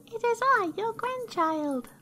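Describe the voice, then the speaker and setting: A woman's voice: very high-pitched, lively intonation, slow pace, sharp tone, a little hiss or hum, pitched above the performer's own voice, heavily acted. A woman voicing a wily wolf who mimics a sweet little girl in a storybook, falsely sweet and childish.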